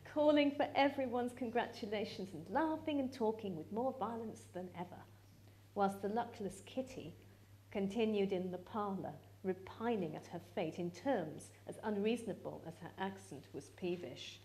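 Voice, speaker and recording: A woman giving a live dramatic reading of literary narration, measured and expressive. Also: mid-range voice. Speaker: actress reading a novel aloud.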